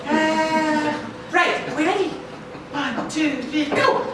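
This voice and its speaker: A woman's voice: high voice, slow. A woman voicing an eager, bumbling first responder in a live puppet show. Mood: flustered, frantic. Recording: echoey noisy hall, far from microphone, audience.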